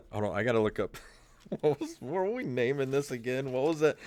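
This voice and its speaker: An American man in his fifties hosting a podcast in casual, joking chat, amused, self-deprecating and quick to laugh. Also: mid-range voice, lively intonation, darkish tone, no echo. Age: 50s. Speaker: podcast host. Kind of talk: casual joking chat, reading aloud from old papers.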